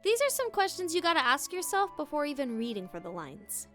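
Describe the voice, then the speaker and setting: A woman's voice: high voice, wildly swooping pitch, fairly quick, loud music behind the voice. A woman hosting a scripted, playful tutorial as a voice actor, with theatrical emphasis. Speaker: voice actor and video host.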